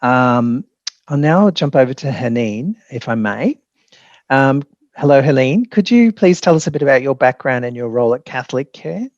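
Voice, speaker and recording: A man's voice: mid-range voice, expressive, moderate pace, darkish tone, dry recording, muffled recording. A man with an Australian accent, a polite host moderating a webinar panel.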